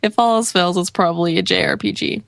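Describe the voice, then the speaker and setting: A woman's voice: on the low side, huge pitch swings, fast, gravelly, no room sound, clean studio sound. A sniffly woman co-hosting a casual gaming podcast, chatting and reading out lists in a playful, joking way. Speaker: podcast co-host reading game release dates.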